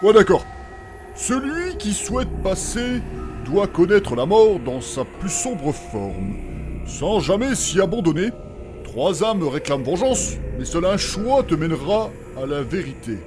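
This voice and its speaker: Man acting a high-voiced wisecracking adventurer. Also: big pitch swings, measured pace. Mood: flippant. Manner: flippant, teasing. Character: wisecracking, sarcastic male adventurer who makes bad puns. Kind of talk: comedic fantasy audio drama acting. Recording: music behind the voice.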